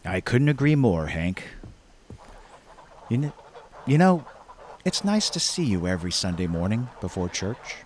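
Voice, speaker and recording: Older male actor as a lifelong buddy, lowish voice, dry teasing, naturalistic drama, faint ambient noise. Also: big pitch swings, unhurried, long pauses. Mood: friendly. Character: old man, lifelong fishing buddy, dry, good-natured teaser. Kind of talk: naturalistic short film drama acting.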